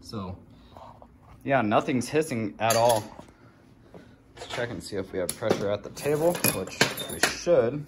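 A man's voice: medium pitch, lively intonation, measured pace, long pauses. A man vlogging casually and informally, walking viewers through a hands-on DIY project. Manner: informal, addressing viewers as guys. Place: home garage workshop. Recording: handheld recording with clear background noise.

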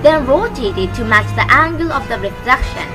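A woman's voice: a little high, expressive, moderate pace. A young woman hosting a tutorial, giving scripted step-by-step instructions into a close microphone. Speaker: tutorial host. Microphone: close microphone on a stand. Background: background music.

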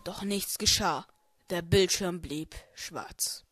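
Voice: medium pitch, slow, a bit bright, breathy edge. A narrator reading a scripted comic audio play in a storytelling style. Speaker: narrator and main character.

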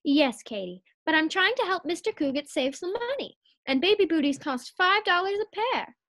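A woman's voice: very high voice, very animated, moderate pace, a bit bright, heavily acted. A woman acting a scheming, cheerful, scatterbrained housewife in a 1940s-style radio sitcom. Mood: chirpy.

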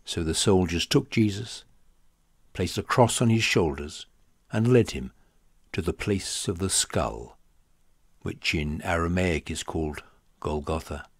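Male audiobook narrator for scripture: low register, deliberate and dramatic delivery, dry close sound. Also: breathy edge, no echo. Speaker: audiobook reader.

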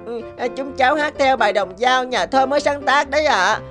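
A woman's voice: sits high, a little fast, music in the background, natural voice. A woman voicing a candid, cheeky village child in a cartoon, with a childlike, frank manner. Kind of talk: cartoon dubbing.

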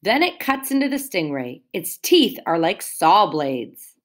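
A woman's voice: on the low side, sing-song, unhurried. A woman teacher reading a picture book aloud to young children, explaining words and asking them questions in a sing-song way.

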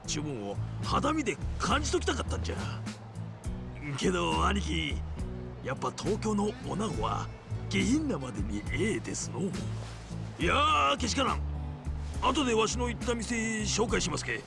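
Brash rustic gangster boss, Hiroshima accent, high-pitched and wildly swooping, game cutscene. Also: male voice, moderate pace, over music, full character voice. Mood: lecherous glee. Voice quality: gravelly. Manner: blustering, exaggerated, animated. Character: brash, lecherous middle-aged yakuza boss, blustering and rustic. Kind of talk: video game cutscene acting.

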